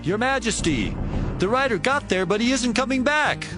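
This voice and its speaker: A man playing an excitable watchtower guard calling out to his king in a dramatized audio Bible. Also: extremely high pitch, moderate pace, music in the background. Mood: excited, alert.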